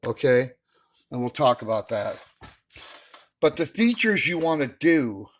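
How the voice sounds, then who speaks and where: A man talking casually and instructively as a hobbyist host, opinionated and emphatic, repeating his key points. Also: medium pitch. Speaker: radio hobbyist host giving buying advice.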